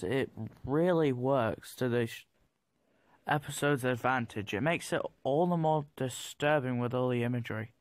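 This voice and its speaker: A young adult man giving countdown review commentary, with hesitant, halting phrasing. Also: mid-range voice, no room sound. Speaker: video maker reviewing cartoons. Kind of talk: top ten countdown commentary.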